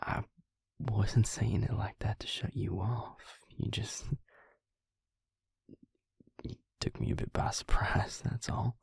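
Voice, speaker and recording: A man playing a gentle, devoted vampire lover in an intimate roleplay audio, speaking tenderly and haltingly in a breathy, gravelly near-whisper. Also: fairly low pitch, steady tone, no echo, heavily acted. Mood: surprised, gentle. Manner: slow, tender, halting delivery.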